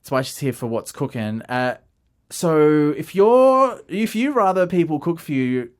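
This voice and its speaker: A man hosting a radio interview, relaxed, with plenty of uhs. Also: fairly high pitch, unhurried. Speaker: radio host.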